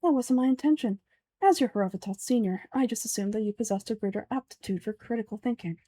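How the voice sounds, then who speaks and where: Woman acting young male scholar, measured, dry, condescending, mellow low register, fantasy game, faint music. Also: no room sound, natural voice. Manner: deadpan, measured.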